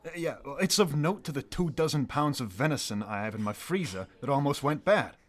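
A man's voice: medium pitch, lively intonation, a little fast, natural voice. A man playing a laconic Englander. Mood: dry. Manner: plainspoken rural drawl, dry. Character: gruff down-to-earth local man, laconic. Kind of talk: audio drama acting.

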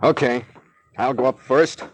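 An actor playing a brisk, level-headed captain in a 1940s radio drama, clipped and commanding in manner. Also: male voice, medium pitch, natural voice. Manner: clipped, commanding, matter-of-fact. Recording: noisy 1940s radio recording.

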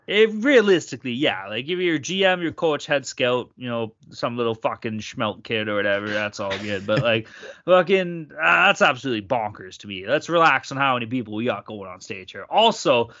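Bright-voiced, swearing ranting man. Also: medium pitch, animated, moderate pace. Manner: profane ranting, lots of swearing. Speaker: podcast co-host. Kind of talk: casual sports chat. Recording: slightly dull lo-fi audio.

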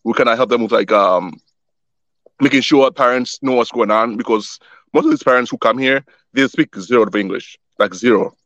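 Young male guest, relaxed and halting, dry close mic with a slightly muffled sound. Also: medium pitch, no echo. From born in Wisconsin, grew up in Tanzania. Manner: relaxed, repeats words often while he thinks. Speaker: guest, youth soccer organization founder. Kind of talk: casual interview chat.

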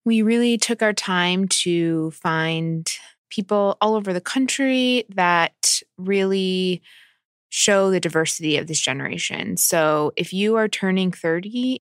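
A woman who works as a deputy editor, explaining a story in a measured, deliberate way. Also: on the low side, smooth, no room sound, clean studio sound. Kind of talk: explanatory podcast talk.

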